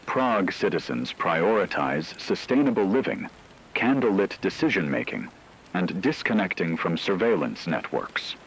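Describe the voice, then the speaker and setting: Actor as male radio anchor, low-pitched, formal, earnest, scripted news delivery, hissy background noise. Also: sing-song, normal speed, poor audio. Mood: earnest, measured.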